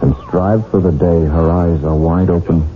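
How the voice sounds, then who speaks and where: An earnest, solemn husband, played by a male actor in a 1970s radio drama. His voice is very low and his delivery insistent and dramatic. The sound is narrow and tinny, like an old broadcast. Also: steady tone, normal speed, faint music behind, natural voice. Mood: tender, reflective. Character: earnest husband demanding the truth, narrating his past. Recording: tinny, band-limited 1970s radio recording.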